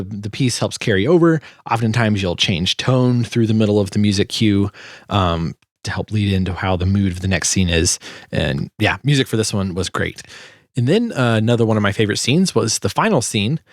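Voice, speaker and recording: A man giving a casual tutorial as a sound designer, informal and halting as he thinks aloud. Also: lowish voice, no room sound, clean studio sound. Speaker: sound designer and video host.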